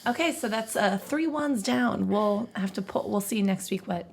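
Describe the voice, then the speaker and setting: A woman co-hosting a podcast in casual comedic chat, joking crudely and swearing freely in an animated, husky voice. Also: medium pitch, moderate pace. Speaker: podcast co-host.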